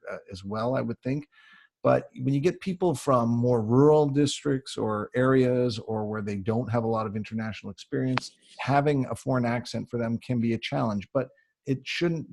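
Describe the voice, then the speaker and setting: Husky-voiced Canadian man giving advice. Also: mid-range voice, a little mellow. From Canada (Ontario). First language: English. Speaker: public speaking coach and guest speaker. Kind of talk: conversational advice answering audience questions.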